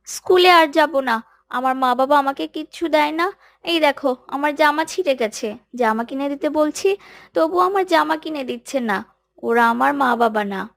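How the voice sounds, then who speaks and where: Cartoon casting: woman as stubborn poor schoolgirl, high and slightly creaky, very dry sound. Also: lively intonation, normal speed, dry recording. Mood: sulky, complaining. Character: sulky poor little schoolgirl, stubborn and tearful. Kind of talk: children's moral cartoon voice acting.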